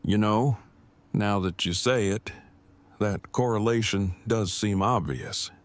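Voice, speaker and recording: An actor playing an awkward, earnest man who speaks in a formal, wordy, deliberate way in a fan audio drama. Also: lowish voice. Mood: earnest, curious.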